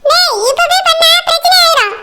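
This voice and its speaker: A man voicing a cocky, simple-minded sidekick in a village cartoon, with a squeaky pitched-up voice and chattering manner. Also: normal speed, noisy background. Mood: cautioning. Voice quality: squeaky, artificially high.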